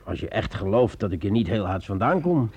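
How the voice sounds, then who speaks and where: An actor playing a brave, sceptical sergeant in a Dutch radio drama, hesitant and repeating himself when pressed. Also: male voice, lowish voice, sing-song, fairly quick, a little mellow, husky, heavily acted. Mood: hesitant, pressured.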